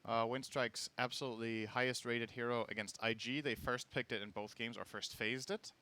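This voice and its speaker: A male esports caster with a bright, forceful tone and a middle pitch. He breaks down the picks and bans in a dry, analytical manner. His delivery is measured and matter-of-fact. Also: fairly even intonation.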